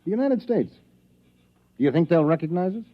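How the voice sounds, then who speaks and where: An actor playing a dreamy, soft-hearted inventor in a 1950s sci-fi radio drama, folksy and wryly grandiose. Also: male voice, on the high side, expressive, brisk, lightly acted. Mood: hopeful. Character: Wes, dreamy, soft-hearted inventor leading a time-travel expedition. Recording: dull, lo-fi vintage radio recording.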